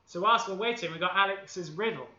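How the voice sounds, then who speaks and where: A Welsh man with a high, very bright and tense voice, upbeat and playful as he leads children through baking. The sound is echoey and dull, like lo-fi livestream audio from a kitchen. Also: a little fast. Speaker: host of a kids' baking livestream. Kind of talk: leading a live baking session with a game of I Spy. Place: home kitchen.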